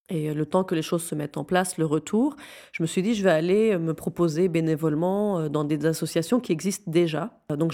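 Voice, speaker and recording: The lowish-voiced woman guest on an interview show, earnestly pressing her points home. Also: warm tone. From Syria. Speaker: interview guest, founder of a youth non-profit. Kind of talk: conversational interview.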